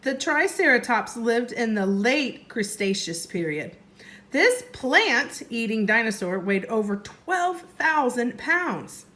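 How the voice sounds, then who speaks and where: A woman's voice: fairly high pitch, sing-song, slow, sharp tone, exaggerated voice. A woman voicing a cautious, bookish young boy in a storybook, with an urgent, exasperated, bossy manner. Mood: studious.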